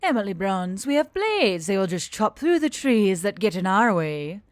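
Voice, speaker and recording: A woman voicing a reckless, teasing female gorgon helicopter pilot with formal, drawn-out phrasing, in an audiobook story. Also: medium pitch, big pitch swings, dry recording, close to an everyday voice. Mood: amused, dismissive.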